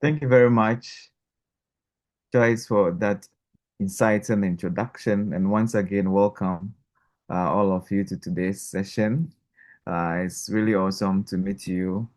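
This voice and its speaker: A man's voice: on the low side, steady tone, unhurried, a little mellow. A man from Ghana, a regional coordinator, speaking formally and courteously on a video call. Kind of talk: online meeting presentation.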